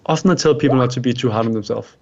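A man's voice: mid-range voice, rapid-fire, gravelly. A man from Denmark, whose first language is Danish, answering interview questions about life coaching in an earnest, advice-giving way. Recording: dull lo-fi sound.

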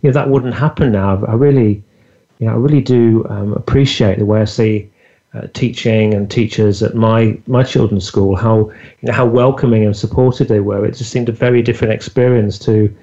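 A man's voice: on the low side, fairly even intonation, brisk, mellow. Chatty man hosting a podcast. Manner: chatty, many fillers and restarts. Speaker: podcast host. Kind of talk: casual interview chat. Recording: dull lo-fi audio.

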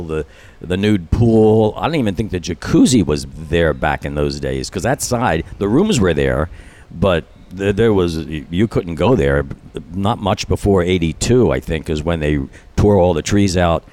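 A man's voice: sits low, darkish tone. A man in his seventies, a guest on a talk show, reminiscing casually in a rambling way with many restarts and asides. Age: 70s. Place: resort dining room.

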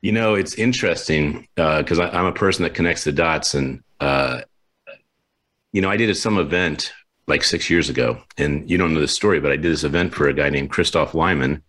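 A man's voice: extremely low pitch, a little fast, fairly bright tone. A man hosting an interview show, upbeat and enthusiastic, often repeating words as he talks. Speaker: podcast host. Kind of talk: casual interview chat.

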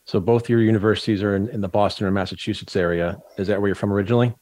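A man's voice: on the low side, steady tone, rapid-fire, darkish tone, dry recording. A man hosting an interview, putting questions to his guest in a friendly, conversational way. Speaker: podcast host.

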